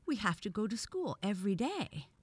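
A woman's voice: a little high, big pitch swings, slow pace, breathy edge, no room sound, voice pushed up, exaggerated voice. A woman voicing an eager, indignant young boy who blurts things out earnestly in a children's storybook.

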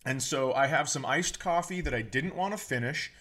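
A man's voice: mid-range voice, moderate pace, fairly bright tone. An American man hosting a comedy podcast in a casual, joking, sometimes profane way.

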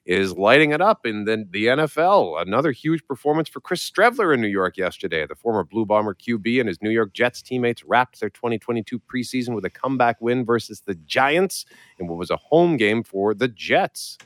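A radio host, talking softly from his apartment while getting over a mild cold. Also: big pitch swings, brisk, dry recording. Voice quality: deep. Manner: quiet, low-key, speaking softly. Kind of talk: morning radio talk. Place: his apartment, working from home.

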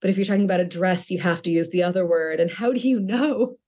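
A woman's voice: fairly low pitch, fast, mellow. A middle-aged woman author answering interview questions in a chatty way, often restarting her sentences and laughing lightly.

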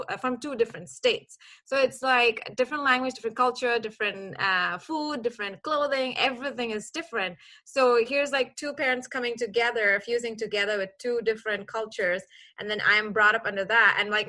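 Heldere, levendig vertellende vrouw uit Koeweit. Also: female voice, on the high side, brisk. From Kuwait. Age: 30s. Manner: animated, laughs often, many restarts and fillers. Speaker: podcast guest. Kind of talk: casual storytelling.

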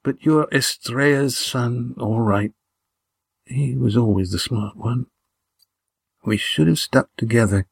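A man acting a dying man, gasping and halting. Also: medium pitch, no room sound, heavily acted. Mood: weak, wistful. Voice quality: breathy, labored, halting. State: out of breath, in pain. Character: gravely wounded dying man, gasping, urgent but fond.

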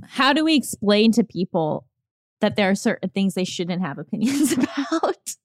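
A woman co-hosting a podcast, speaking in a measured, soothing manner. Her voice is recorded dry and close, with no room sound. The tone is relaxed conversation. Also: mid-range voice, no echo. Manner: measured, calm, comforting way of speaking. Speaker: podcast co-host. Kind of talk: casual chat.

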